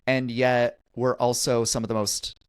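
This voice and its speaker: A man's voice: medium pitch, level tone, a little slow, clear, resonant tone, no echo, clean studio sound. A man hosting a podcast interview, speaking earnestly and asking reflective questions. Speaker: podcast host, attachment coach.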